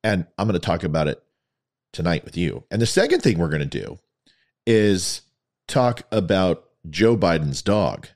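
Male commentary host, lower register, lightly worn and scratchy, emphatic theatrical delivery. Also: sing-song, normal speed, darkish tone. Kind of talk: opinion commentary monologue to an audience.

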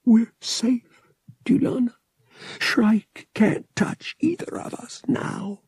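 A man voicing a determined, grieving young space pilot in a breathy, hushed, emotional way for an audiobook. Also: very high voice, slow, dry recording, studio quality, voice pushed up, heavily acted. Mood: hushed, tearful resolve.

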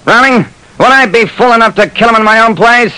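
An actor playing a big, urgent gambling boss in an old radio drama, forceful and commanding, on a noisy recording. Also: male voice, very high voice, a little fast. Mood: desperate, commanding. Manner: forceful, commanding, pleading his innocence.

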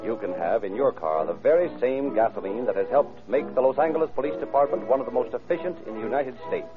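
A man announces in classic 1930s radio style. His scripted delivery is emphatic and declamatory, at a medium pitch. The recording is thin and tinny, with clear music. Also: lively intonation, fast talker. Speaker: announcer and narrator.

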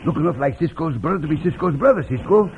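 An actor playing a simple, eager Mexican cowboy sidekick who speaks broken English with a Mexican accent in an old radio western. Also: male voice, fairly high pitch, lively intonation, fast. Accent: Mexican, put on. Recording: noisy, tinny old radio recording.

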